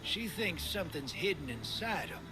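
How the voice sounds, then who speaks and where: An actor playing a breezy, wisecracking adventurer in a video game cutscene, with a casual, chummy way of talking. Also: male voice, a little high, big pitch swings, moderate pace. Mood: playful, upbeat. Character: breezy, wisecracking male adventurer on debrief duty. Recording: music behind the voice. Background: background music.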